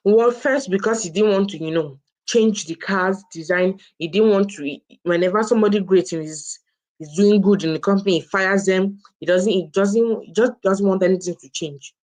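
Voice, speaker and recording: A woman's voice: mid-range voice, moderate pace, darkish tone, low-quality recording. A woman co-hosting a book review, explaining informally and often restating and restarting her phrases. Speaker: co-host presenting a book review.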